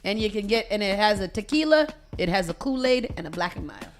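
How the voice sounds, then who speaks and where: Radio host, woman, relaxed banter, quick laughs, studio music underneath. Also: mid-range voice. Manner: laughing, loose, greets listeners by name. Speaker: radio morning show host. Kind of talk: casual chat.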